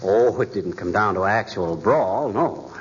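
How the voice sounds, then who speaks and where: Folksy boastful braggart, 1940s radio comedy, lively pitch, muffled, audience laughter. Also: male voice, measured pace, slightly rough, heavily acted. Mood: tall-tale bragging. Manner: folksy tall-tale bragging, ain't and I says. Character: boastful small-town male braggart, middle-aged. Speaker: title comic lead. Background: studio audience laughter.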